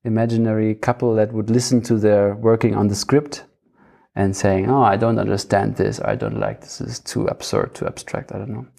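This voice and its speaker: A man's voice: fairly low pitch, animated, a little fast, creaky, darkish tone. A man in casual, reflective discussion, thinking aloud and repeating phrases as he searches for words. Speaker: theatre practitioner in conversation.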